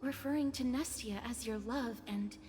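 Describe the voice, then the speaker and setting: A woman's voice: fairly high pitch, moderate pace, a little mellow, slight background noise, natural voice. A woman voicing an earnest, composed young heroine who lays out her reasoning. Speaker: game character. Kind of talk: video game voice acting. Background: game music.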